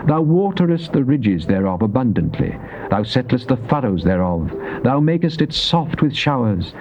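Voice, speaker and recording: A man's voice: medium pitch, very animated, moderate pace, darkish tone. A man giving a solemn, theatrical, declamatory dramatized reading of scripture. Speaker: Bible reader. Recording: dull, lo-fi cassette with faint noise.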